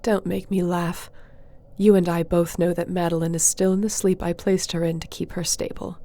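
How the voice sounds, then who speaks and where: A woman's voice: lowish voice, little pitch movement, moderate pace, mellow, natural voice. A woman playing an earnest, loyal sorceress accused of a crime, defiant and desperate, in a fantasy audio roleplay. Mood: defiant, firm.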